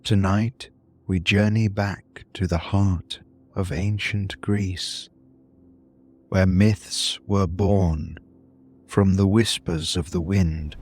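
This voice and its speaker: A man narrating a scripted bedtime story in a soothing, deliberate manner, with long pauses between short phrases. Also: sits low, steady tone. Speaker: host and narrator of a sleep story podcast.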